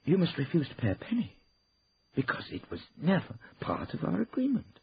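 Man voicing an indignant, high-pitched woman, husky. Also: wildly swooping pitch, normal speed, dull audio, full character voice. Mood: indignant protest. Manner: insistent, emphatic. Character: firm, indignant divorced woman defending herself. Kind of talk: acted audiobook dialogue.

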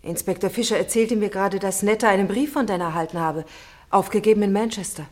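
A woman's voice: mid-range voice, fast talker, darkish tone, close to an everyday voice. Actress as poised, gracious young lady. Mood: serious, informative. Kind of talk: radio drama acting.

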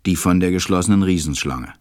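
A very low-voiced, serious pilot, played by a man, tells his story in a radio play. He speaks in a measured, deliberate way, like someone recalling what happened to him. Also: a little mellow, no echo, studio quality, natural voice. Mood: reflective, wry. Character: stranded adult male pilot, serious narrator recalling events. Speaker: narrator. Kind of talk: storytelling narration in an audio drama.

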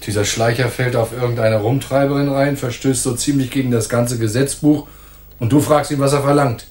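A man's voice: medium pitch, fairly even intonation, brisk, darkish tone, lightly acted. A man playing a menacing, bitter crooked police detective in a radio crime drama, sneering and slangy. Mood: sneering menace. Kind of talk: 1980s German radio crime drama acting. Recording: roomy, echoing studio sound.

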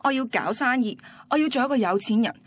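A woman's voice: on the high side, expressive, fast, dry recording. An actress playing a blunt, ambitious young student girlfriend in a radio drama, matter-of-fact and dismissive, with a Hong Kong Cantonese accent. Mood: determined. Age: young adult.

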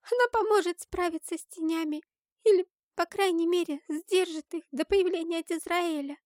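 A small, high-pitched girl from a fantasy storybook played by a woman narrator. She is soft, earnest and full of emotion. She carries ancient power but sounds anxious and sorrowful. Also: normal speed, dry recording, clean studio sound. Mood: hushed, urgent.

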